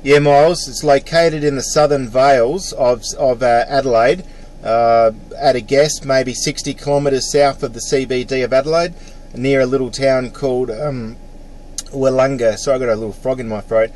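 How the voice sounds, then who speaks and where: A man's voice: mid-range voice, steady tone, normal speed, a little mellow. Middle-aged Australian male radio hobbyist, casual matey on-air chat, faint background noise. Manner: mate-peppered, spells call signs phonetically. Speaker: amateur radio operator.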